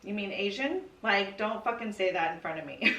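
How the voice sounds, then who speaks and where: Bright-voiced American woman, sweary and casual. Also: medium pitch, animated, normal speed. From California, United States. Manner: informal, sweary, frequent "like" and "you know". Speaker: podcast guest sharing her personal story. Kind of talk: candid casual conversation. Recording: roomy echo, distant from the mic.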